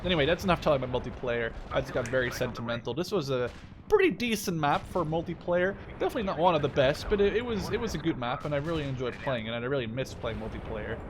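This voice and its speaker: A man's voice: fairly high pitch, fast, noisy background. A man in his twenties giving casual gameplay commentary, informal and slangy with some swearing. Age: 20s. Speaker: gaming video host. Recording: loud game battle sounds behind voice. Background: artillery, gunfire and vehicles from the game.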